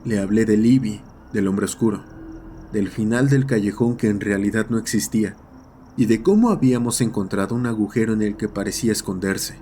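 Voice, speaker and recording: A man's voice: lowish voice, fairly quick, dark tone, a little hiss or hum. A man reading a scripted horror story as its narrator.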